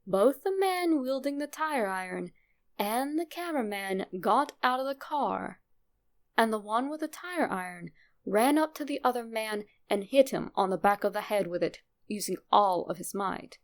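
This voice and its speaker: A woman with a creaky voice reading a scripted first-person horror story as a narrator. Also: on the high side, lively intonation, moderate pace.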